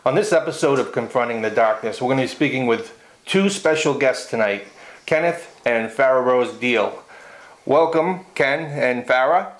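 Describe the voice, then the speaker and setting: A man's voice: medium pitch, expressive, normal speed. A man hosting an interview show, introducing and questioning guests, heard on a roomy telephone recording. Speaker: show host.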